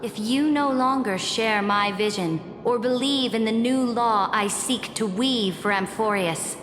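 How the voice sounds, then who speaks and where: A cold, resolute empress from a video game speaks slowly and imperiously. Her measured words carry long, echoing reverb, with music clearly playing behind. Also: fairly high pitch, fairly bright tone. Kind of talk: video game drama dialogue.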